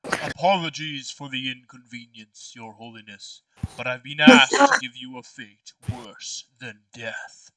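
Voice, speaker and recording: A man voicing a hired wizard in a party game, speaking with polite, measured menace. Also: mid-range voice, big pitch swings, pushed and bright, dry recording, far from the mic, full character voice, rough recording. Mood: measured, menacing.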